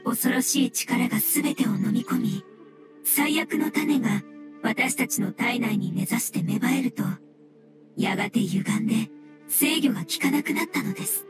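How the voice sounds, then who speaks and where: A woman playing a gentle, ancient floating water creature in a video game, speaking in formal, measured explanations. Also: fairly high pitch, lightly acted. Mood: solemn. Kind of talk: scripted video game dialogue. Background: music.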